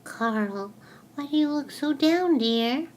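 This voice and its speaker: A woman playing a patient, motherly explainer who gently corrects and teaches in a storybook skit. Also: high voice, expressive, slow pace, pitched above the performer's own voice. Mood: concerned.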